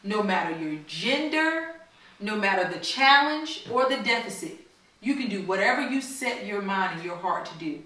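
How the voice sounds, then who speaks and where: Very echoey, bright-voiced lady doing storytime for kids, encouraging like a teacher. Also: medium pitch, animated, normal speed. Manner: encouraging, teacherly, repeats children's answers. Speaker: storytime host reading a picture book to children. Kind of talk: read-aloud storytelling with questions to children. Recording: very echoey room, far from mic.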